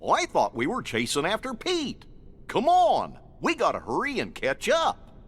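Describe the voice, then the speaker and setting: A man voicing a kindly, loyal, bumbling cartoon dog sidekick in a video game, husky and folksy. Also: sits high, wildly swooping pitch, a little slow, fairly bright tone, exaggerated voice. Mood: cheerful, earnest. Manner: folksy, bumbling, good-natured.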